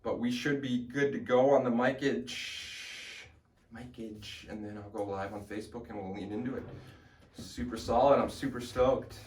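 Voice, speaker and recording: A man in his late twenties hosting a casual podcast in a small studio, talking in an energetic, rambling way and swearing casually. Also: medium pitch, normal speed, echoey room, distant mic, a little hiss or hum. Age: 20s. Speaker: podcast host.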